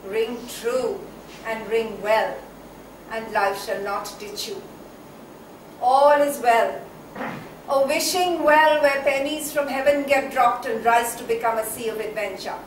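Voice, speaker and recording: Echoey, high-voiced theatrical woman poet played by an actress. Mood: urgent, commanding. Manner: declamatory, dramatic, with emphatic repeats and drawn-out phrases. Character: theatrical, whimsical female poet musing aloud on art. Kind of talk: theatrical stage monologue, poetic recitation. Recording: distant, very echoey hall, faint noise.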